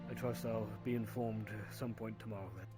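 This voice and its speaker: A man playing a deferential, anxious military surgeon in a dark fantasy roleplay, with a halting, polite delivery. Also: fairly low pitch, steady tone, close to an everyday voice. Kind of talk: live improvised roleplay acting. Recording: music behind the voice.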